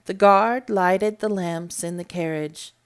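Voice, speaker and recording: A woman reading a classic novel aloud as storybook narration, in a deliberate, measured manner. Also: medium pitch, clean tone. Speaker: storybook reader. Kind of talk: read-aloud audiobook narration.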